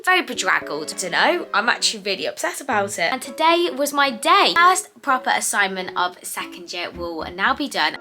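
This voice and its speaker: A young woman, slightly croaky, chatting upbeat and directly to viewers in a day-in-the-life vlog. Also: mid-range voice, very animated, normal speed, hardly any pauses. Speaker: vlogger and medical student.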